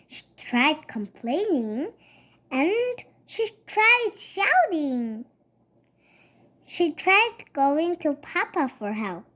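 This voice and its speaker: A child reading a children's picture book aloud in a halting way, stumbling over words and going back to repeat them. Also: high voice, a bit bright.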